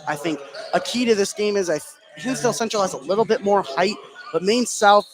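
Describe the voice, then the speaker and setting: A man's voice: high voice, brisk, music in the background. A man giving live color commentary and analysis at a basketball game.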